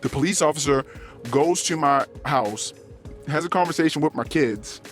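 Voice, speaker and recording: A man's voice: a little high, animated, normal speed. A middle-aged man hosting a podcast, delivering an unscripted personal rant with emphatic, repetitive phrasing and swearing. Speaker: podcast host. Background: music.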